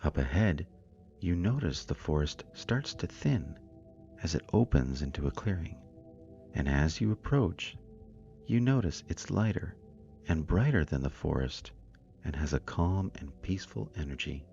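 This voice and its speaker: Deep-voiced man guiding a slow meditation. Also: creaky, highs cut off. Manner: calm, soothing, deliberate phrasing. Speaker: guided meditation narrator. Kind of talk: scripted guided meditation narration. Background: meditation music with singing bowls.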